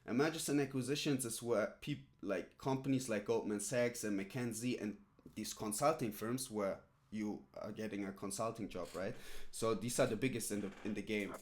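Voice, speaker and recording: A man's voice: lowish voice, normal speed. Rambling streamer man. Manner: rambling, filler-heavy, repeats "right?" and "literally". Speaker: streamer coaching a viewer. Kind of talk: casual live-stream chat.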